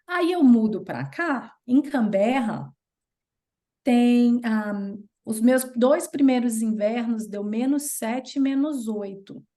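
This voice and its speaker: A Brazilian woman in her thirties with a Brazilian Portuguese accent, a guest chatting casually and telling stories in an animated way. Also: takes it slowly. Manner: chatty and animated, playful jumps in pitch when retelling. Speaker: interview guest. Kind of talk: casual storytelling chat.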